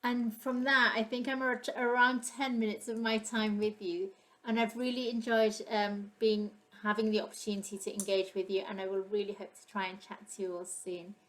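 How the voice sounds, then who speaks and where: Woman, friendly, encouraging, mid-pitched, closing a talk. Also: level tone, moderate pace. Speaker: speaker in a video.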